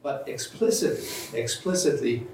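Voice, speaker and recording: A man giving a measured, methodical academic lecture as a historian, now and then clearing his throat. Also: mid-range voice, a little mellow. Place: lecture room. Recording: roomy, from far off, faint hiss.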